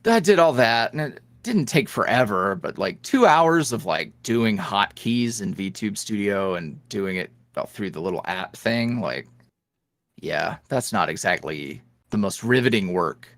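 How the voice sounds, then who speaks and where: A man's voice: on the low side, expressive, a little slow, a bit bright, no echo. A young man, a voice creator, chatting casually as a podcast guest, rambling with many restarts. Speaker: guest, ASMR roleplay creator. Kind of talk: casual interview chat. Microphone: headset.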